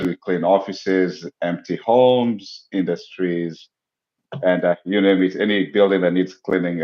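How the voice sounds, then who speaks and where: A man with a low voice talks in a measured, reflective way. He sounds like he is on a remote call, with an echoey room and dull, lo-fi audio. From Kenya. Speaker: guest, business owner. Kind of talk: casual interview talk.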